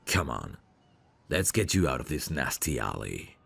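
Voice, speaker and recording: Man playing very deep, gravelly suave mafia heir. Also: big pitch swings, measured pace, fairly bright tone, slight background noise, full character voice. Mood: charming, courteous. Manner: smooth-talking, courtly, flirtatious. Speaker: voice actor. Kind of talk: ASMR roleplay, intimate one-sided dialogue.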